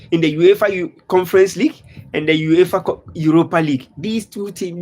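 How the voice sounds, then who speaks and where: High-voiced Ghanaian-born sports streamer. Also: male voice. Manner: repetitive, earnest, reads out viewer comments. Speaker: live stream host. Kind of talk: casual football talk to live viewers. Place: lives in Dubai.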